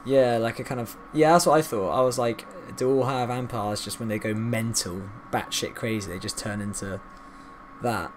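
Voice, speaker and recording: A man's voice: mid-range voice, fairly even intonation, normal speed, noisy background. A man giving casual, chatty live commentary on a video game, reacting aloud and talking to his viewers. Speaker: streamer. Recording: game music behind the voice. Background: video game music and sound.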